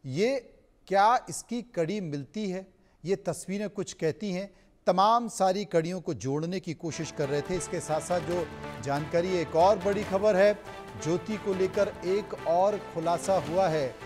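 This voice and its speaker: A man's voice: sits high, unhurried, darkish tone, soft background music. A man anchoring live television news in an emphatic manner, repeating phrases for effect.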